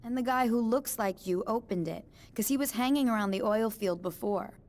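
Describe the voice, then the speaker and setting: A woman's voice: a little high, moderate pace, a little mellow, natural voice. An actress playing a chatty, eccentric female new-age mystic with a breezy, airy way of talking in a game cutscene. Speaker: motel resident, self-declared psychic.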